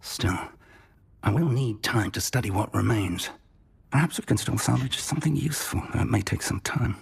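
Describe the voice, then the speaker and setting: Excitable bookish wizard professor in a game, really raspy breathy voice, pitch goes all over. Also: male voice, normal speed, heavily acted. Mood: thoughtful concern. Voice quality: husky, breathy. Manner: expressive, musing to himself. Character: excitable, scholarly male professor, curious and fretful. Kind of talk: scripted video game acting.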